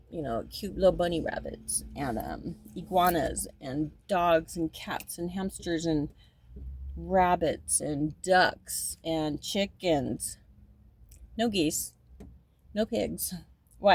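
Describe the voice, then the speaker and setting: A woman's voice: lowish voice, slow pace, slightly rough. A woman in her fifties talking in a casual, rambling monologue, often repeating and restarting her sentences, and at times turning emphatic and indignant. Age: 50s.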